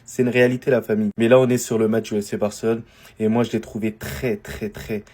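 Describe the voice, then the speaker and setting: A man speaks about a match in a level, monotone voice. He is blunt and outspoken, and he does not hold back his criticism. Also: fairly low pitch, quick pace. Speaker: football YouTuber. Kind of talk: casual video commentary.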